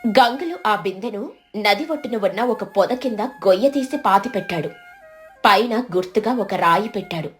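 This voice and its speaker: A woman's voice: medium pitch, expressive, normal speed, soft background music. A woman giving a scripted narration of a moral story as its storyteller.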